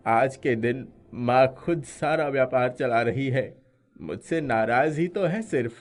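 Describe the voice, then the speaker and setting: A man's voice: medium pitch, unhurried, natural voice. A man playing an ailing, remorseful son devoted to his mother in a dramatised story. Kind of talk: dramatised audio story acting.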